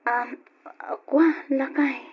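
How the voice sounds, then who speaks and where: An actress with an Ilocano accent playing an emotional, hesitant, pleading wife in an old radio drama, with a husky, expressive voice. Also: female voice, sits high, slow. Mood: hesitant, uneasy. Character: Irene, emotional wife and daughter, pleading, torn over money. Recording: dull, lo-fi old radio recording.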